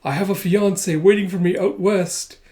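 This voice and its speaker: Man acting out a soap-opera sweetheart, raised pitch, melodramatic, overwrought, romantic. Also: fairly even intonation, moderate pace, warm tone, lightly acted. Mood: passionate.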